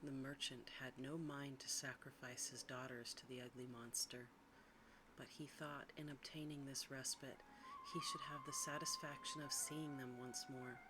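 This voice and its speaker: A storyteller giving a hushed ASMR narration of a bedtime fairy tale. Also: medium pitch, level tone, moderate pace, background noise. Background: background music.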